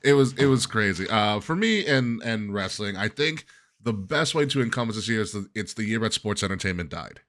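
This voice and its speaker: A man's voice: on the low side, expressive, a little fast, fairly bright tone. A man co-hosting a podcast in casual chat, laughing often and giving reflective commentary. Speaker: podcast co-host.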